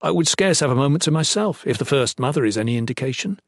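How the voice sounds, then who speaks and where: A man voicing a steady, dutiful male protector in an audiobook, speaking with restrained, formal courtesy. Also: medium pitch, quick pace, dry recording, studio quality. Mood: guarded.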